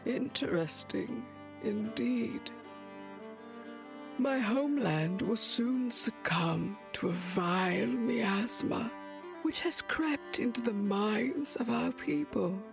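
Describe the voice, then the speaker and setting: A ragged, weary mystic witch for a dark fantasy audio drama. She drags each phrase out very slowly and ominously, with a creaky, highish voice. Clear music plays behind her. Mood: weary, grave. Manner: slow, ominous, theatrical storytelling. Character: weary, dread-laden mystic witch in rags.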